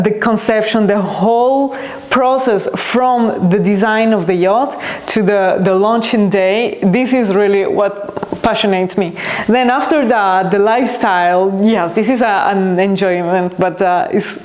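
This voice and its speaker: A woman speaks in a middle-pitched voice, earnest and reflective, pausing now and then to find the right word. Her talk has the loose flow of a podcast host answering listener questions. The room around her leaves a clear, roomy echo. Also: a little mellow. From Colombia. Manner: earnest and reflective, searching for words. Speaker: podcast host and company founder.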